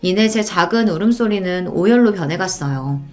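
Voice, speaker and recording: A woman's voice: fairly low pitch, lively intonation, normal speed, a little mellow. A woman reading a scripted first-person story in the style of a radio story show. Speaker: story narrator. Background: background music.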